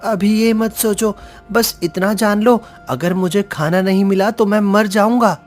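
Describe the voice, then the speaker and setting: A man voicing a gentle, starving talking deer in a cartoon fable, pleading, with music behind. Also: high voice, fairly even intonation, unhurried. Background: background music.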